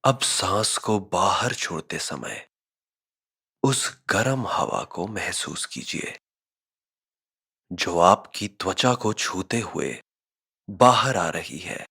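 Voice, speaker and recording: Male meditation guide: low register, very slow measured delivery, husky edge to the tone. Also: expressive, sharp tone. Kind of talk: scripted guided meditation narration.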